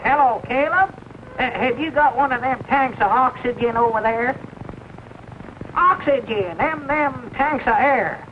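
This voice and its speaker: An actor playing an excitable, stammering country shopkeeper with a rural Southern US accent, in old radio-comedy style. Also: male voice, extremely high pitch, unhurried. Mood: excited. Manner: stammering, rustic grammar. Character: excitable, folksy small-town country bumpkin shopkeeper. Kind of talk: 1940s radio-comedy acting. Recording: muffled old film soundtrack, faint hiss.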